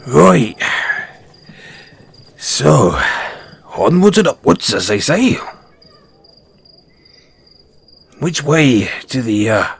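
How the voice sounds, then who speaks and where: A man playing a kind, gruff dwarf blacksmith and former adventurer in a fantasy audio roleplay, speaking in a folksy, avuncular way. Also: medium pitch, big pitch swings, slow pace, exaggerated voice. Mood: eager, hearty. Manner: hesitant, folksy, avuncular, addresses listener as lad. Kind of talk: fantasy audio roleplay acting, second-person dialogue.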